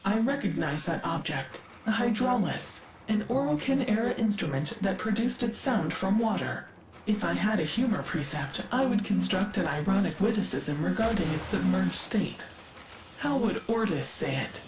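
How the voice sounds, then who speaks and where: Woman voicing a measured, echoing, curious female AI. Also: mid-range voice, lively intonation, background noise, close to an everyday voice. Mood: puzzled. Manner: measured, precise, formal diction. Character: curious, analytical female ship AI, cultured and wistful. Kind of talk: scripted video game dialogue. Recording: echoing voice with music behind. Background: game music.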